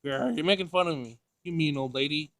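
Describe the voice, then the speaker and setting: A man's voice: on the high side, a little fast, fairly bright tone, no room sound. Visual novel child, read by a man: young girl, inquisitive and direct, simple childish phrasing. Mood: indignant. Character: curious, blunt seven-year-old girl.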